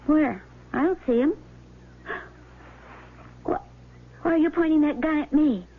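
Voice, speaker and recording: An actress playing a domineering, calculating wife with a scornful, commanding way of talking, in 1970s radio drama style. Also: female voice, sits high, a little slow. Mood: frightened, shocked. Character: domineering, vengeful rich wife, sharp-tongued and calculating. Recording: narrowband, noisy old radio broadcast recording.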